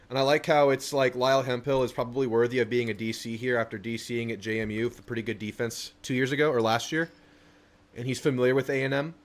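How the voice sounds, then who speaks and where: A young man hosting a casual live stream, reading viewer messages aloud and answering them. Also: medium pitch. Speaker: livestream host.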